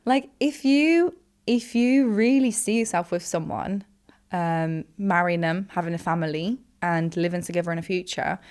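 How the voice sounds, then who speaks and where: A young woman from Poland talks as a guest in a relaxed interview. Her voice sits a little low and sounds smooth and resonant. She rambles along with plenty of fillers. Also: moderate pace, hardly any pauses. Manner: chatty, rambling, with frequent fillers and laughs. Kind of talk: casual interview chat.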